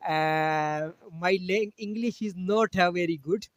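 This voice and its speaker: A man from Pakistan whose first language is Urdu, teaching a Quran lesson over a video call in halting English with many pauses. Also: sits high, a crowd in the background. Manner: halting English with frequent pauses and throat-clearing.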